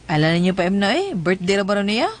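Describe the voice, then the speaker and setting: A woman's voice: mid-range voice, big pitch swings, fast, a little hiss or hum, close to an everyday voice. A woman playing a tough, brash tomboyish wife with blunt, slangy, swaggering talk in a comedic radio drama.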